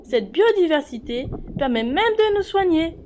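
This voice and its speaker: High-pitched woman narrating for children. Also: very animated, moderate pace, background noise. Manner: addresses viewers directly, explanatory. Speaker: narrator of an educational cartoon. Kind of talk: scripted educational narration for children.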